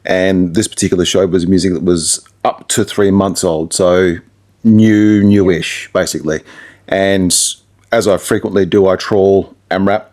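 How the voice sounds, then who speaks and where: Low-voiced middle-aged Australian man chatting. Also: steady tone, unhurried, a little mellow. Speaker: radio presenter and DJ being interviewed. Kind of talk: casual conversational interview.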